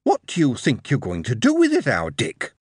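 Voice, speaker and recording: A man's voice: medium pitch, wildly swooping pitch, moderate pace, slightly rough, dry recording, studio quality, voice pushed up. A man voicing a doting, plain-spoken Yorkshire mother who is bewildered by her son and turns stern. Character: anxious, doting Northern mother, middle-aged.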